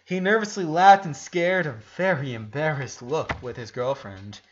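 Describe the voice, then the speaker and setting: A man's voice: a little high, normal speed, highs cut off. A man narrating a fan fiction story aloud in a dramatic reading style.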